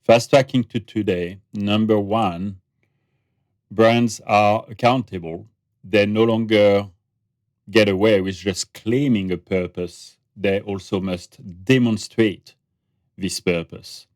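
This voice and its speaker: Guest spot: French-accented man, low deep voice, reflective podcast guest. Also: steady tone, slow, darkish tone, no echo, studio quality. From France. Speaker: guest, brand researcher and author. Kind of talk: podcast interview conversation.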